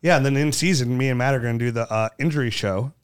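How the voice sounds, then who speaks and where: A male podcast host speaking while under the weather with a cold. He has a smooth, resonant voice at an average pitch and talks in a loose, rambling, joking manner. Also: fast talker. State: sick with a cold. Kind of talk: casual podcast chat.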